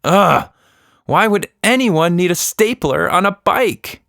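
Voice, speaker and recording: A man's voice: high-pitched, expressive, slow, gravelly, fairly bright tone, no echo, clean studio sound, pitched above the performer's own voice, full character voice. A man voicing a determined young girl in a children's story, with a whiny, exasperated manner.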